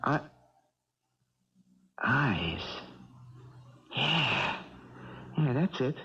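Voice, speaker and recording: A man's voice: medium pitch, sing-song, extremely slow, sharp tone, husky, heavily acted. An actor playing a sleepless, frightened young male boxer in a 1970s radio drama, stammering and groping for words. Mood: frightened, dawning dread. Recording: dull 1970s radio recording.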